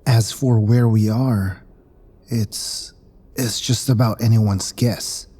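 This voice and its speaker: Casting: male actor for blunt, stoic space crewman, low and dark-toned, sci-fi audio drama. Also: steady tone, slow pace, slightly rough. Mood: grim.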